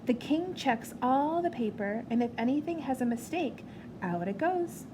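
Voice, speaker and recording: A gentle storybook father read by a woman, playful as he explains things to a child. The voice is fairly high and there is clear noise on the recording. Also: expressive, normal speed.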